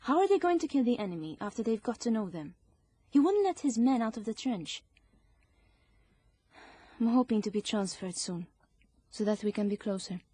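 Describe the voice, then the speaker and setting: A woman's voice: on the high side, animated, moderate pace, long pauses, warm tone, husky, dry recording. A woman playing a prim, caring young British army nurse in a period radio drama. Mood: proper, earnest.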